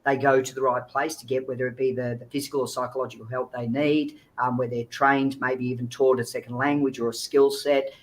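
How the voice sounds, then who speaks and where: A man's voice: medium pitch, level tone, brisk, few pauses, rough recording. A man giving informal, earnest, explanatory answers as an interview guest on a video call. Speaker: guest speaker, child recovery agency founder.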